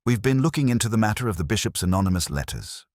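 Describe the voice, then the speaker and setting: A man voicing a calm, polite police inspector in an audio drama, gently and patiently questioning. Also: fairly low pitch, quick pace, dry recording, studio quality, pitched above the performer's own voice. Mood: gently probing. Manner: gentle, pleasant, quietly probing. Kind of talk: audio drama character reading.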